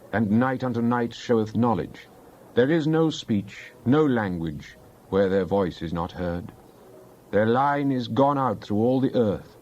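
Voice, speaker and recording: Male scripture reader, mid-pitched, solemn and declamatory, on slightly muffled audio. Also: a little mellow. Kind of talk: dramatized Bible reading.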